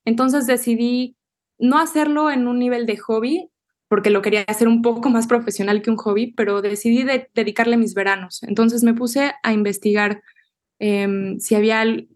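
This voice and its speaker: A young adult woman poet answering interview questions in a thoughtful, measured way, often restarting small phrases as she thinks. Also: fairly high pitch, steady tone, a little mellow. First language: Spanish.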